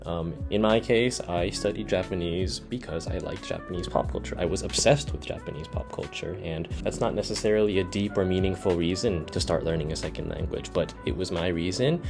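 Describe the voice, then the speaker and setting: A young man giving language learning tips in a relaxed, conversational way, often saying "you know". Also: low-pitched. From United States. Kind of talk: casual explainer to camera. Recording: soft guitar music underneath.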